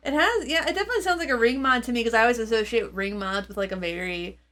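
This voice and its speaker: A woman's voice: fairly high pitch, very fast, fairly bright tone. A woman giving an informal, off-the-cuff gear demo, thinking aloud in loose fragments as she reviews. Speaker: gear reviewer and channel host. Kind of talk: casual, off-the-cuff product demo chat. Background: electric guitar playing through effects pedals.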